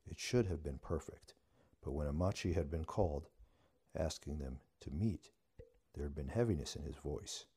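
A man's voice: rumbling low, moderate pace, warm tone, breathy edge. A man reading a short story aloud as scripted narration. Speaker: story reader.

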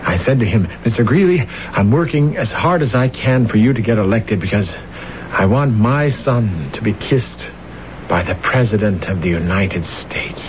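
A man's voice: lowish voice, lively intonation, normal speed, lightly acted. An actor playing an embittered, self-pitying and domineering husband losing his mind, in an agitated, pompous, old-time radio drama style. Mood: pompous, irate. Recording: dull, noisy old radio recording.

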